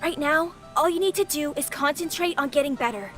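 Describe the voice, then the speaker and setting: Very high-voiced eager young child. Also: female voice, brisk, fairly bright tone, soft background music. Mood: excited, reassuring. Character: eager, caring young child, reassuring parent. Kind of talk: English video game voice acting.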